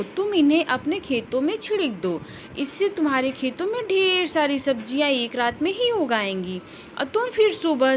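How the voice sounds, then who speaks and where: Woman voicing a high, caring cartoon parrot. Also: slight background noise. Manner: concerned, reassuring. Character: caring, helpful female parrot friend.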